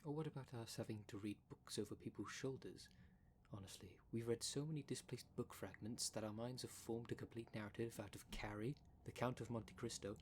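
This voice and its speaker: A man's voice: medium pitch, steady tone, fairly quick, slight background noise, close to an everyday voice. A man playing a prim, defensive dream demon in a formal, fussy, easily exasperated manner for an ASMR roleplay drama. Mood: conversational. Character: prim, defensive dream demon, one half of split creature.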